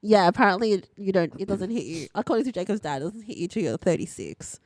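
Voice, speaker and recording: A woman's voice: medium pitch, expressive, fast, darkish tone, no room sound. A woman in her early thirties co-hosting a podcast with casual, friendly banter. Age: early 30s. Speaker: podcast co-host.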